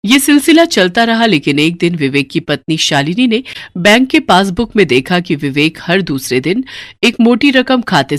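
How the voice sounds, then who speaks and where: A woman's voice: fairly low pitch, dry recording, studio quality. A woman narrating a story in a dramatic, scripted storytelling style.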